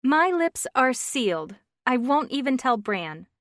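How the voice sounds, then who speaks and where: A woman voicing an excitable young woman friend chatting on the phone, gushing and teasing, in a dry, close reading. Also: high voice, unhurried, dry recording, pitched above the performer's own voice, full character voice. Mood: excited.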